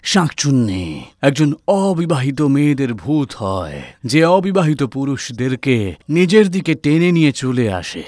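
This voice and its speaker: An actor playing a grave holy man, a village exorcist, in an animated horror story. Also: mid-range voice, measured pace, no room sound, studio quality.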